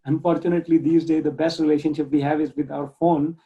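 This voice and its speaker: Man with a Pakistani accent, 30s, earnest guest on a video call; slightly high, gravelly voice, dull lo-fi audio. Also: flat delivery, fast. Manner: earnest, restarts words while searching for English phrasing. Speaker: guest, project manager and nonprofit volunteer. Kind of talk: casual interview talk. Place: home room, indoors.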